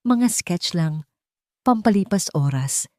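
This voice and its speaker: A woman's voice: fairly low pitch, lively intonation, slow, mellow, no echo, studio quality. A woman voicing a hesitant, self-effacing mother who used to paint, in an audiobook. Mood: reluctant. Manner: awkward, reluctant.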